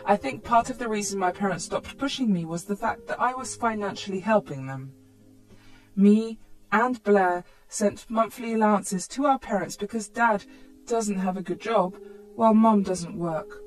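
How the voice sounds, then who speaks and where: A woman's voice: mid-range voice, normal speed, faint music behind, poor audio. A woman reading a scripted first-person story as narrator.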